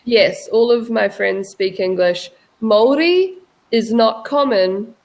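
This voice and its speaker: New Zealand woman, online English tutor, unhurried and clear for a learner. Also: on the high side, a little mellow. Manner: patient, speaks slowly and clearly for a learner. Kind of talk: casual video-call conversation. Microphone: video call.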